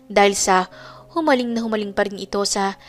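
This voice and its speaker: A woman's voice: mid-range voice, brisk, soft background music. A woman narrating a scripted romance story as a dramatic storyteller.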